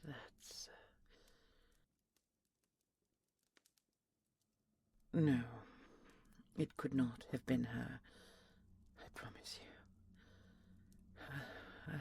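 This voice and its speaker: An ancient dragon, played by a man, speaks with heavy creak in a slow, halting, intimate murmur. The voice is secretive yet tender, in fantasy audio drama style, with faint noise behind it. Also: medium pitch, mellow. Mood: uneasy dread. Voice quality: gravelly, often whispery. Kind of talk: intimate audio roleplay acting.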